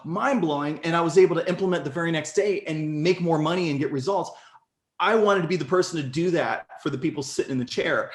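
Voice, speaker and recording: A man in his forties with a fairly high voice tells his own story in a relaxed interview. He talks with lots of energy and often jumps up in pitch when something excites him. The room has a noticeable echo. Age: 40s. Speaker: podcast guest. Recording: echoey room.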